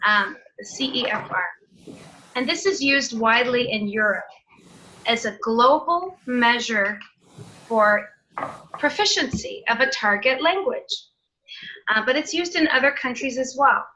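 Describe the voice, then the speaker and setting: A woman's voice: a little high, sharp tone, a little hiss or hum, poor audio. A Canadian woman presenting a teacher seminar over a video call, explaining in a friendly way with some fillers. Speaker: teacher trainer.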